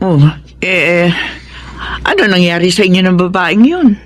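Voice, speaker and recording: Woman acting as frank Filipina friend, lowish voice, very animated, chiding, caring, radio drama. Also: normal speed, natural voice. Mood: concerned scolding. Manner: chiding, expressive.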